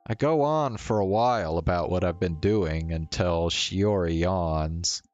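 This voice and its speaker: Slow, low-voiced man narrating a story. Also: darkish tone, no room sound, muffled recording, soft background music. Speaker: streamer reading a visual novel aloud. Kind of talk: first-person story narration with acted dialogue.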